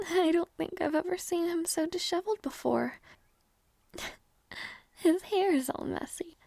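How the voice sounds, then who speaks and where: A woman voicing a shy, flustered young princess who talks softly and hesitantly, often in a whisper and with stammers. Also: very high voice, slow, vocal fry, long pauses, no room sound, exaggerated voice. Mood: drowsy, wistful. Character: shy, flustered young princess waking beside her knight. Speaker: audio roleplay performer. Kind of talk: intimate audio roleplay.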